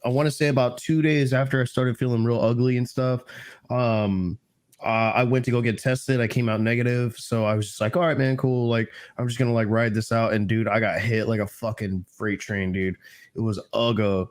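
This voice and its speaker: A man's voice: mid-range voice, steady tone, normal speed. Male co-host, congested, rambling, profane, casual. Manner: rambling, slangy, swears a lot. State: stuffy, recovering from flu. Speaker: podcast co-host. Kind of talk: casual chat.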